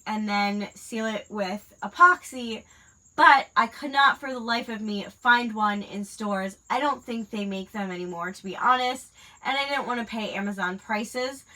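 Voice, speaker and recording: A woman's voice: mid-range voice, sharp tone, rough recording. A woman with a stuffy head cold, chatting casually and rambling with fillers while she crafts, a mother of school-age kids. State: congested from a cold. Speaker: crafting livestream host.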